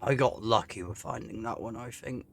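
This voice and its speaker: A man giving casual, low-key commentary on a video game as he plays, often muttering to himself. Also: moderate pace, creaky. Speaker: gaming YouTuber. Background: game music.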